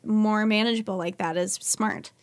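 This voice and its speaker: A woman's voice: mid-range voice, fairly even intonation, normal speed, no room sound, studio quality. A woman co-hosting a podcast in casual, friendly chat with fillers and easy laughter, her voice carrying a slight cough after the flu. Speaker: podcast co-host.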